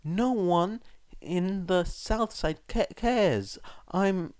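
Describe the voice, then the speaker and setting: A man's voice: sits high, extremely slow, a little mellow, clear, resonant tone, no room sound, clean studio sound, pitched above the performer's own voice. A man voicing a shy, nervous middle-school boy in a storybook reading, stammering, hesitant and apologetic. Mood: ashamed, hesitant. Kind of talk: audiobook character voice.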